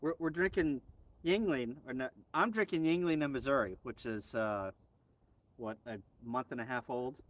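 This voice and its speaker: A man's voice: mid-range voice, moderate pace. An American man hosting a casual live stream as a ham radio hobbyist, chatting in an off-the-cuff way. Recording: distant mic, faint background noise.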